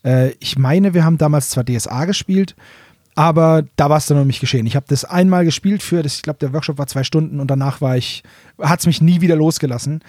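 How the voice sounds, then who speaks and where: A man's voice: fairly high pitch, fast talker, darkish tone, dry recording, clean studio sound. A man co-hosting a casual fan talk, explaining things in an informal, colloquial way. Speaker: podcast co-host.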